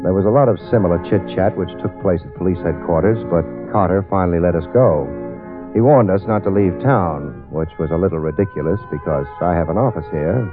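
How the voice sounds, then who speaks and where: A man's voice: low-pitched, fairly quick, lightly acted. A man playing a wisecracking private eye. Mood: wry. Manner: wry, wisecracking. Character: wisecracking male private detective narrator. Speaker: narrator. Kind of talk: 1940s radio acting. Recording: tinny old radio broadcast with music.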